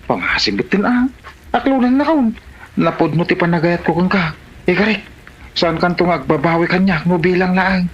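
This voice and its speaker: A man's voice: high-pitched, expressive, normal speed, muffled recording, slight background noise. A man acting in an Ilocano comedy drama as a devoted, persistent suitor, with a Filipino Ilocano accent. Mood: pleading, tender.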